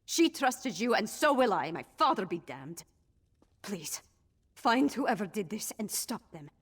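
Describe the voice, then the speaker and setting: Here is a grieving young princess from a fantasy game. Her voice is slightly rough and breathy, and she speaks with earnest, intense determination and a strong will. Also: mid-range voice, big pitch swings, a little slow, fairly bright tone, heavily acted. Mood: pleading.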